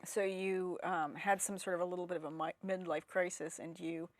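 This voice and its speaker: Host: woman with a lowish voice, dry close sound, gently summarizing questions. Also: flat delivery, normal speed, no room sound. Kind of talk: interviewer summing up.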